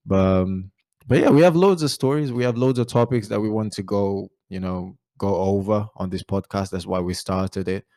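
A man in his twenties from Portugal, speaking English with a Portuguese accent, hosting a relaxed podcast chat full of fillers. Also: lowish voice, normal speed, no room sound, studio quality. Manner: relaxed, rambling, many fillers. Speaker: podcast host. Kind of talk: casual chat.

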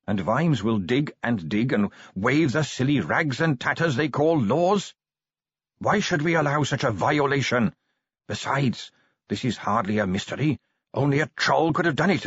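A man's voice: medium pitch, breathy edge, no echo, highs cut off, pitched above the performer's own voice. A man voicing a hostile, conspiratorial dwarf in an audiobook, insistent and contemptuous. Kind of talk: audiobook character voice.

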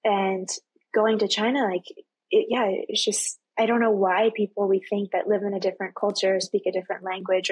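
Breathy young woman from Texas. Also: mid-range voice, dry recording, low-quality recording. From Texas, USA. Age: 20s. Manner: chatty, many "like" and "um" fillers, laughs often. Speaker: podcast guest, university student. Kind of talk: casual interview chat.

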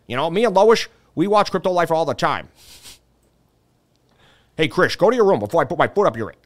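A man voicing a goofy, dim-witted cartoon dad in a loud, slurry impression. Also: fairly high pitch, animated, a little fast, lightly acted.

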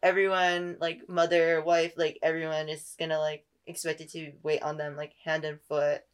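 Young female podcast guest, lowish voice, hedging casual delivery, wry slang. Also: little pitch movement, normal speed, clean tone. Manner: hedging, slangy, wryly playful. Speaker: podcast guest, staff member. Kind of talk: casual personal chat.

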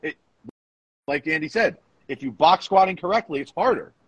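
A man's voice: on the high side, animated, moderate pace, fairly bright tone, a little hiss or hum. A man co-hosting a casual talk podcast, blunt and insistent, often repeating phrases for emphasis. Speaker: co-host, powerlifting coach. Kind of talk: casual podcast banter and opinion.

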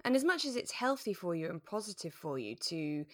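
Podcast co-host: female, lowish voice, informal chatty discussion style, recorded very dry. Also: very animated, fairly quick, dry recording. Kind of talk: casual recap and discussion of a radio drama.

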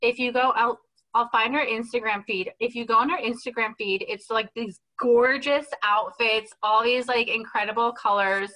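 A woman with a bright, somewhat high voice gushes over her subject in casual podcast talk. She gets excitable and quick. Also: low-quality recording. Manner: gushing, excitable. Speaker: podcast host. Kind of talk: casual chat.

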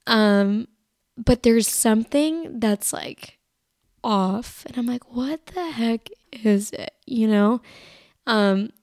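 A young woman with a somewhat high, raspy voice talks on her own podcast, wandering from thought to thought with constant "like"s. She sounds tired, recovering from being sick. The sound is dry and close. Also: slow, a little mellow, no echo. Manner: rambling, filler-heavy, lots of "like". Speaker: podcast host. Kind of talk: casual solo podcast chat.

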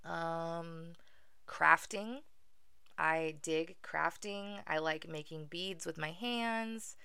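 A woman's voice: low voice, very slow, vocal fry, sharp tone, clean tone, no echo. A young adult woman from Venezuela hosting her own podcast, talking casually in a wry, self-deprecating way with frequent ums. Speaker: podcast host. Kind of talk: casual solo podcast monologue.

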